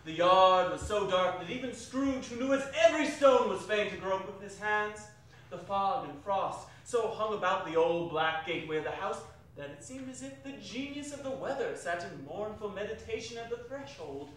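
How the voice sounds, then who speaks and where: A man's voice: sits high, animated, normal speed, fairly bright tone. A man narrating a classic story as a theatrical dramatic reading on stage. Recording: very echoey hall, distant mic, faint noise.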